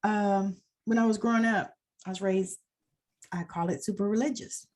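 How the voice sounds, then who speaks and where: A woman's voice: medium pitch, steady tone, normal speed, darkish tone. A woman hosting an interview over a video call, enthusiastic and affirming, laughing often as she talks. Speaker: interview host. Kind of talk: conversational interview.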